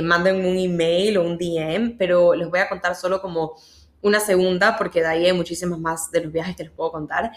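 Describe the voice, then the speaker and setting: A woman with an Ecuadorian accent hosting a podcast, telling personal stories casually and emphatically, repeating words for stress. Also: fairly low pitch. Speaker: podcast host. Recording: echoey, roomy sound.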